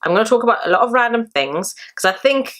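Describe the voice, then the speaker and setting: A woman's voice: on the high side, animated, fast, a bit bright. A woman raised in Germany whose first language is Tamil, hosting her own channel and talking casually in a rambling video diary with lots of fillers. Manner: rambling, chatty, many fillers. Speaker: channel host.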